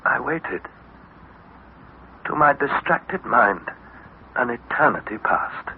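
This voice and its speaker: An earnest young explorer recounting his ordeal in a vintage radio drama. His delivery is slow, deliberate and theatrical. The recording is dull, with faint noise behind it. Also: mid-range voice, lively intonation. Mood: haunted, tense. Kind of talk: dramatic narration in 1960s radio serial.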